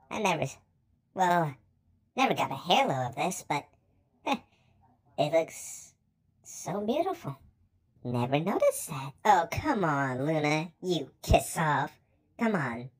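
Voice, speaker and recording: Woman acting brash young demon girl, swooping pitch. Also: sing-song, slow pace, long pauses, breathy edge, full character voice. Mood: teasing, affectionate. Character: moody young female demon, brash yet affectionate. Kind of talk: fan comic dub acting.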